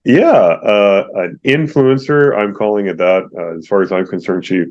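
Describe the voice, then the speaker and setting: A man hosting an interview podcast, with a very low voice and a friendly, affirming manner. His talk is full of small fillers. The recording sounds slightly dull and lo-fi. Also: normal speed, a little mellow. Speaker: podcast host.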